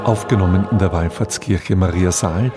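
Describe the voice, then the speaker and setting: A man's voice: deep voice, moderate pace. A man giving scripted announcements as a radio presenter. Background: music.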